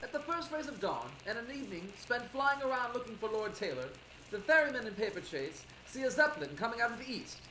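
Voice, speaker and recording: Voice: mid-range voice, sing-song, fast, background noise. A narrator reading scripted narration for an old-time radio drama.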